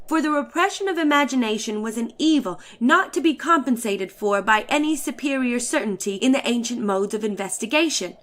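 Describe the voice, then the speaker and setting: A woman's voice: sits high, fairly bright tone. A woman reading a literary short story aloud in a theatrical, emphatic and formal manner.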